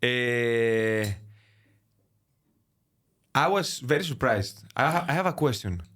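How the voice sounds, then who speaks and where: A man with a mid-range voice hosting a relaxed podcast interview. He jokes with his guest and often breaks off and restarts his questions. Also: medium pitch. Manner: friendly, teasing, halting phrasing. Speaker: podcast host. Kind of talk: casual interview chat.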